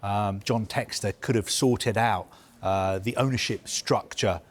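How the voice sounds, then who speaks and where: A man with a fairly low voice walks through a football news story for a live broadcast. He speaks in a measured, explanatory way and often pauses on "uh" and "um" while he lays out the details.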